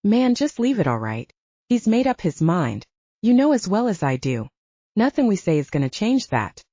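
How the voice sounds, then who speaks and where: A weary, level-headed male squad mate played by a woman narrator, placating and resigned. The voice is mellow and swings in pitch, in a dull-sounding audiobook recording. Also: sing-song, moderate pace, no room sound.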